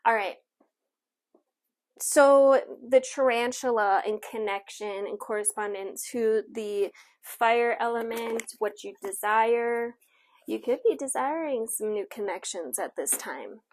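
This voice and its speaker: A woman's voice: on the high side, slow pace. Woman giving a casual oracle card reading. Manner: conversational, reassuring asides, frequently checks in with "okay". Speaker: tarot and astrology reader, show host.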